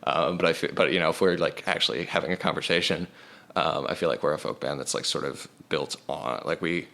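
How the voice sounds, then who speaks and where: A young man with a very deep, resonant voice talks in a relaxed interview. He rambles and stacks up fillers as he tells stories about his band. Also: fairly quick, creaky. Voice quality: low resonant voice. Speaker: band singer. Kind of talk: casual interview chat.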